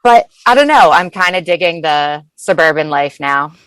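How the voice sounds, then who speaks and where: A woman's voice: sits low, animated, moderate pace, a bit bright, clean tone, no room sound, poor audio. An American woman from Massachusetts chatting casually as a podcast guest. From Massachusetts, United States. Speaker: podcast guest, food blogger. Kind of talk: casual chat. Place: closet.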